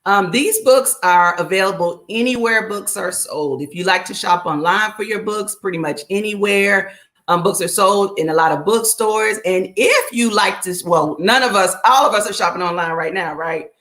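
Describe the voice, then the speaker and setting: A middle-aged woman, a children's book author, talking casually as a guest, reflective and relaxed with many fillers. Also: medium pitch, moderate pace. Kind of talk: casual conversation.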